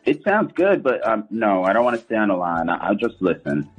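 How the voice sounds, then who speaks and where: Male caller, subdued and hesitant, husky delivery over faint music. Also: lowish voice, sing-song, brisk, low-quality recording. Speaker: radio show caller. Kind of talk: casual phone conversation.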